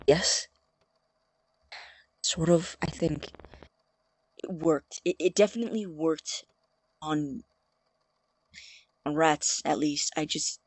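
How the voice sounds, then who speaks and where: A nervous young male student scientist played by an actor in an audio drama, husky and breathy in a very dry recording. He stammers and defends himself earnestly, obsessed with his work. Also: medium pitch, darkish tone, no room sound. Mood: hesitant.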